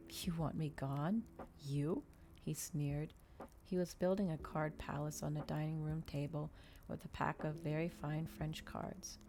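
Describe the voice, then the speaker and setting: A woman reading a novel aloud in ASMR style, with a soft, hushed voice and measured, unhurried delivery. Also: low voice, a little hiss or hum. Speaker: ASMR streamer.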